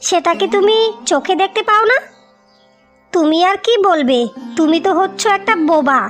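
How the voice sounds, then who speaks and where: A woman's voice: extremely high pitch, fairly bright tone. A woman voicing a scornful, bullying married village woman in a cartoon, scolding and sarcastic. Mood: nagging, scornful. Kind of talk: dramatic cartoon voice acting.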